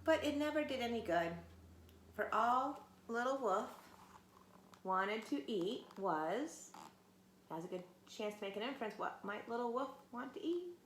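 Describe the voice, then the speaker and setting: Teacher reading a storybook aloud. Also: female voice, fairly high pitch, lively intonation, measured pace, reverberant, a little hiss or hum. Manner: gentle, asks children questions. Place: home, pets nearby. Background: dogs playing.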